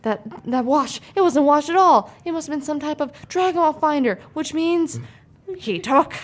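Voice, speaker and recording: A woman's voice: sits high, expressive, normal speed. A woman in a casual group chat who playfully acts out dialogue as she talks. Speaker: podcast panelist. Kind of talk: casual group chat quoting cartoon lines.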